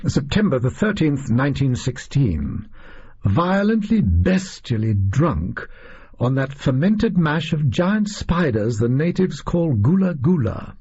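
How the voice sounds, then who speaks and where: A man's voice: fairly high pitch, big pitch swings, slow, darkish tone, husky, lo-fi sound, exaggerated voice. A man voicing a pompous, eccentric explorer-scientist reading out his diary in clipped, self-important phrases, for an audio story. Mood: drunken, lurid.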